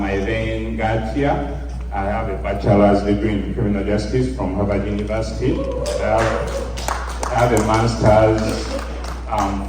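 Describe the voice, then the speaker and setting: Young man from Uganda, low voice, slow halting speech, distant mic in an echoey hall. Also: noisy background. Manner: slow, halting, many ums. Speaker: youth representative. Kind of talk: speech to a gathering.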